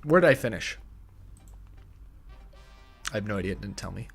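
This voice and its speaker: A young man in his twenties hosting a casual livestream, thinking aloud and correcting himself, a bit hoarse, on a close studio mic in a small room. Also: on the low side, animated, moderate pace, soft background music. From United States. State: slightly hoarse, tickle in throat. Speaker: livestream host. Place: small home streaming room. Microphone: headset and studio mic on arm.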